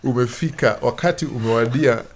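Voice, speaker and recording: A man's voice: medium pitch, a crowd in the background. A man with a Kenyan accent hosting an interview in a conversational way, often repeating himself as he searches for words. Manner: halting, searching for words with repetitions. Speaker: show host. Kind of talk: conversational interview hosting, mixing Swahili and English.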